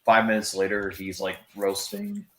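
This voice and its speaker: Low-voiced man in an echoey room. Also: very animated, slight background noise. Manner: laughing, halting and profane. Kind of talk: casual chat.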